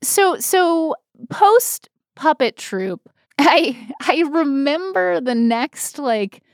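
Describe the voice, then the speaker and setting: A woman's voice: high voice, very animated, very slow, dry recording, clean studio sound. A woman co-hosting a podcast, telling personal stories in an informal, rambling, self-deprecating way. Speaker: podcast co-host. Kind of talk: casual chat, personal storytelling.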